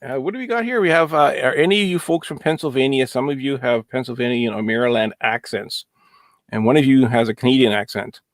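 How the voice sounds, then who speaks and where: A man hosting a casual live stream, chatting and joking in a relaxed way with many fillers. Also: medium pitch, fast, dry recording. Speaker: host.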